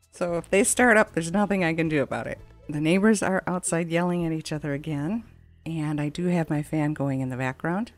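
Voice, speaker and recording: Low-voiced woman chatting over game music. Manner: chatty, rambling, conversational. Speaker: gaming video host. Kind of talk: casual let's play commentary. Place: at home, window open a crack, fan running.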